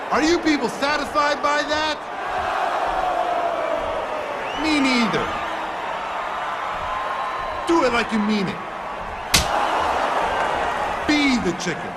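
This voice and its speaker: Casting: defiant wrestler in a game promo, raised pitch, mocking showman command, over a roaring crowd. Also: animated, measured pace. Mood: taunting, commanding. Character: defiant male pro wrestler taunting his boss. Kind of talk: in-ring microphone promo in a wrestling video game. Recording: loud arena crowd noise throughout.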